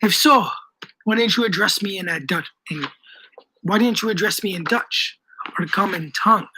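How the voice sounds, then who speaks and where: A storyteller voicing a stern, suspicious and excitable old male haberdasher in an adventure story. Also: moderate pace, noisy background, close to an everyday voice. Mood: stern, questioning. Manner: stern questioning that rises into shrill shouting.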